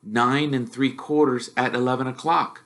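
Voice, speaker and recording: A man voicing a timid, polite young boy in a storybook, in a tentative, soft, hesitant way. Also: mid-range voice, steady tone, moderate pace, voice pushed up, natural voice. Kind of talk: storybook character voice.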